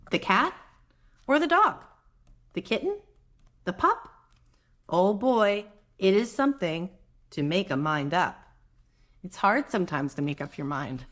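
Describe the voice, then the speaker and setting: A soft-spoken woman reads a storybook to preschoolers at an unhurried pace. Her pitch rises and dips in a lively way as she reads. She is gentle and chatty, often slipping in little asides to the children. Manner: gentle, expressive, chatty with young listeners. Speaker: children's read-aloud host; mother. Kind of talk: picture-book read-aloud for preschoolers with conversational asides.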